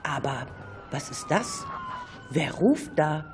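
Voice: medium pitch, huge pitch swings, slow, background noise. A performer playing the storyteller of a children's fairy-tale radio play, wondering aloud at the puzzling adventure. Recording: long hall reverb, faint music.

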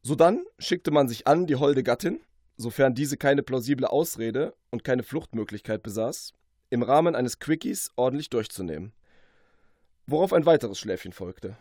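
A man's voice: medium pitch, expressive, normal speed, a little mellow, dry recording, studio quality. A man narrating a comic fantasy parody from a script, in a formal, mock-epic storyteller manner. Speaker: author reading from his own book.

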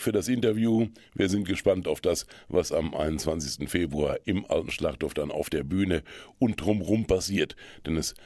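A man's voice: sits low, darkish tone, dry recording. A man presenting and interviewing as host of a culture magazine programme.

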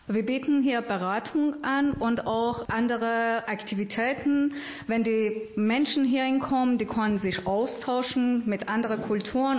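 A woman from Iran who works at an integration agency, answering interview questions in simple, earnest German with small grammar slips. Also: fairly high pitch. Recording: roomy, dull lo-fi sound.

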